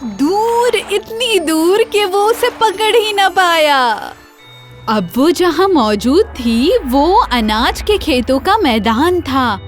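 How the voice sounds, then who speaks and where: A woman narrates a children's fairy tale as a scripted storyteller. Her voice is high. Soft music runs underneath. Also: animated. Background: faint music.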